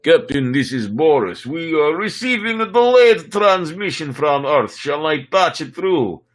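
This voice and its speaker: Man doing a gruff, stiff space crewman. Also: on the high side, big pitch swings, measured pace. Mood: formal. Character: gruff male spaceship crewman nicknamed Big Bear.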